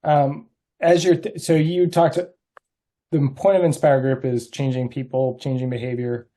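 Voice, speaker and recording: Mellow-voiced male interviewer. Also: medium pitch, level tone, normal speed. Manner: friendly, probing questions. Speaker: interviewer, host. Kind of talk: casual interview on a video call.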